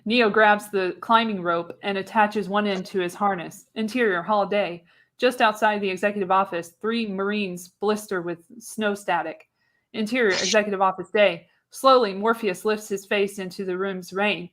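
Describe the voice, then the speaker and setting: A woman reads stage and action directions as the narrator of a radio play. Her voice sits at a medium pitch, and her delivery is dramatic and scripted, with an occasional stumble over a word. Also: steady tone, normal speed, fairly bright tone. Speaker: narrator, show host. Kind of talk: reading screenplay directions for live radio play.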